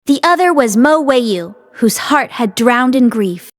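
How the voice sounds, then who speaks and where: A woman reading a fantasy novel aloud as an expressive audiobook narrator. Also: a little high, measured pace, no room sound, studio quality. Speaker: audiobook reader.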